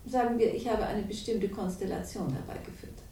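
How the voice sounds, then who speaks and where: A woman's voice: steady tone, fairly quick, dark tone, a little hiss or hum, close to an everyday voice. An actress playing a cold, manipulative woman in a radio crime drama, speaking with smug irony and cool amusement. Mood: mocking, cool. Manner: smug, ironic, coolly amused. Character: cold, manipulative, mocking woman confessing her schemes. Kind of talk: 1960s German radio crime drama acting. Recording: roomy, distant, faintly rumbling radio recording.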